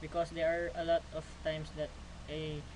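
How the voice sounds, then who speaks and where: A man's voice: on the high side, completely flat delivery, normal speed, a little mellow, rough recording. Stiff young man from the Philippines presenting. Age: 20s. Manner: reads stiffly, repeating phrases. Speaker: student presenter. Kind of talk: class project presentation. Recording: noticeable background noise.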